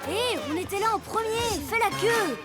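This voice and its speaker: A woman's voice: very high voice, normal speed, over music. A woman voicing a bold six-year-old boy superhero in a cartoon dub, confident and reassuring. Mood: eager. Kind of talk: animated cartoon dubbing.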